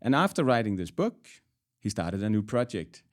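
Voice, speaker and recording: A man hosting an interview podcast in a measured, conversational way. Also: on the low side, very animated.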